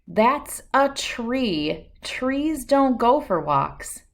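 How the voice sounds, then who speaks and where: Woman playing a slow, dismissive storybook neighbor. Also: a little high, big pitch swings, creaky, voice pushed up, heavily acted. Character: disapproving, skeptical neighbor woman with a pet cat.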